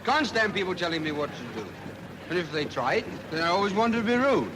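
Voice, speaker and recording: A cocky young British recruit in a wartime film, with a high male voice and a fast, breezy patter. It comes from a noisy old soundtrack with vehicles behind. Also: sing-song. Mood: cocky, defiant. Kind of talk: 1940s British film acting.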